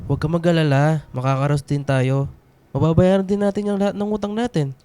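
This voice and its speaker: An actor playing a caring, reassuring young husband in a radio drama, speaking with gentle concern. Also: male voice, fairly high pitch, fairly even intonation, a little fast, clean tone, close to an everyday voice. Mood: affectionate.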